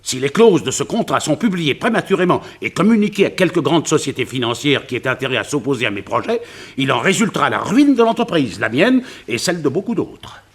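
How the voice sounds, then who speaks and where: A man playing a wealthy, worried financier in a detective radio play, speaking formally, precisely and gravely. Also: on the high side, very animated, fairly quick, heavily acted. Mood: grave, emphatic.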